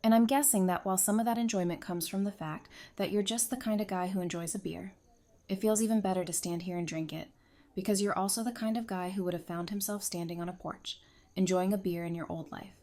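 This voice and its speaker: A woman's voice: medium pitch, fairly even intonation, fast, dark tone, lightly acted. A woman playing a frank, teasing, friendly nerd in an audio drama, with wry conversational delivery. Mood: candid. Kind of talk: fan audio drama acting.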